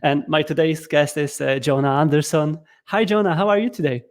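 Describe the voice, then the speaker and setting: Upbeat host man, fairly high voice. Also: steady tone, brisk. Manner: upbeat, slightly stumbling with repeated words. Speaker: show host. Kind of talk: casual interview hosting with a scripted intro.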